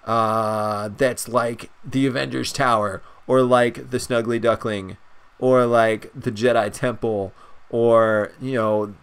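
Young man, stuffy nose, excited casual game commentary, rambling with fillers. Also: medium pitch, slow pace, clear, resonant tone. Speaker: gaming channel host.

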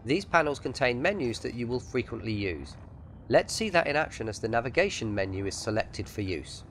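A man's voice: medium pitch, very animated, fairly quick, a bit bright, slight background noise. A man giving scripted instructional narration as a tutorial narrator for a game voice pack. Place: voice-over with music behind.

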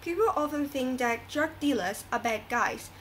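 A woman's voice: sits high, animated, moderate pace, a little hiss or hum. A woman playing an earnest, moralizing talk show host in a scripted radio drama, reading didactically.

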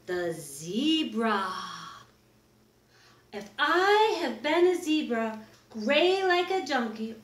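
Middle-aged lady doing storytime for little kids, super expressive, takes her time, roomy echo. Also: sits high. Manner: exaggerated, expressive, with gasps and drawn-out words. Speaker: teacher reading a picture book to young children. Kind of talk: animated children's story read-aloud. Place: small wood-paneled room indoors. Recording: roomy, echoing indoor recording.

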